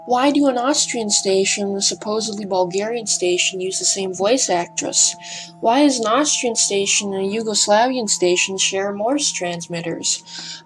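A woman reads scripted documentary narration at a medium pitch. Her delivery is measured but a touch hesitant, with a slight breathlessness in places. Faint music runs underneath her voice. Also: low-quality recording.

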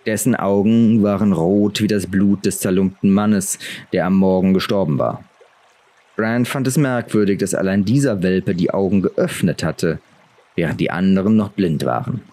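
Low-pitched male fantasy audiobook narrator. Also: moderate pace, warm tone.

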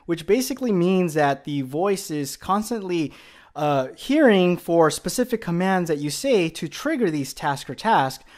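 A man giving a casual tech demonstration as a video presenter, recorded indoors at home. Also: fairly high pitch. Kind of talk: casual demo narration.

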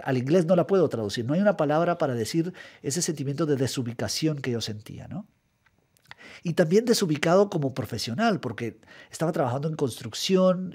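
A man's voice: fairly high pitch, darkish tone, breathy edge. A middle-aged man from Guatemala, a writer giving a thoughtful, methodical radio interview in a studio, often repeating words as he searches for them. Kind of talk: radio interview, reflective answers. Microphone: studio microphone.

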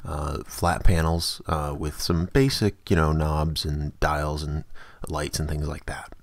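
A man narrating a software tutorial as its host, explaining things in a casual, informal way. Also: extremely low pitch, little pitch movement, takes it slowly, no room sound.

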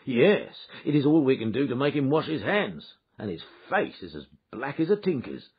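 Man narrating, putting on a big hearty old-school inspector, loud and bluff, voice swings around, slightly muffled recording. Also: medium pitch, sing-song, normal speed, husky, voice pushed up. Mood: matter-of-fact. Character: bluff, hearty middle-aged male police inspector.

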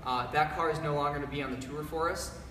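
A man's voice: fairly high pitch, fairly even intonation, fast talker, sharp tone. A young man hosting a live company announcement, upbeat and presenter-like, speaking in a large garage showroom. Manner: upbeat, presenter-like, reads out viewer comments. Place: large echoey garage showroom with cars, indoors. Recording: echoey room from far off, background noise.

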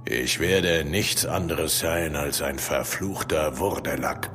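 Slow, very deep stern old patriarch. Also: male voice, background noise. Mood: grave, commanding. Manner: solemn, commanding, measured. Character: stern, domineering old father issuing a grave warning. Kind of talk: German horror radio drama acting. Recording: music behind the voice.

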